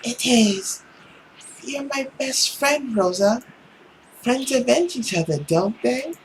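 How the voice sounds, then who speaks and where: A man's voice: very high-pitched, expressive, slow, slight background noise, pitched above the performer's own voice, full character voice, rough recording. A man voicing a manipulative young female friend who coaxes insistently for revenge in a visual novel. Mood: coaxing.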